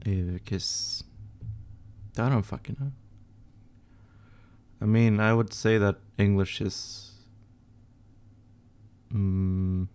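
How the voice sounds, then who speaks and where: A young man from Sweden, his voice a bit low, chats offhandedly on a livestream while working at his computer. He mumbles, trails off mid-thought and swears quietly under his breath. Keyboard typing and mouse clicks come through behind him. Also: steady tone, extremely slow, long pauses, clear, resonant tone. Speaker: livestream host answering chat questions. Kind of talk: casual livestream chat while editing images.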